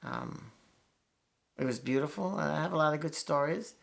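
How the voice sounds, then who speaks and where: A man's voice: a little high, lively intonation, moderate pace, vocal fry, fairly bright tone. A man in his sixties from New York, a guitarist talking through a casual, rambling, candid monologue to camera, with frequent swearing and restarts. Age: 60s. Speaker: guitarist and channel host.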